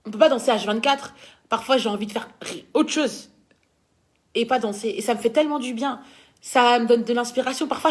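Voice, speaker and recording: A young woman from Belgium with a Belgian French accent, chatting casually as she reads out and answers viewers' questions. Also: fairly high pitch. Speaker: dancer answering fan questions.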